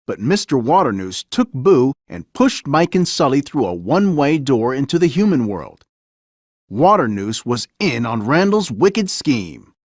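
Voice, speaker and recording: A man reading a children's story aloud as scripted narration, with an expressive, dramatic storytelling delivery. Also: mid-range voice, dry recording, clean studio sound. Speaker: storybook reader.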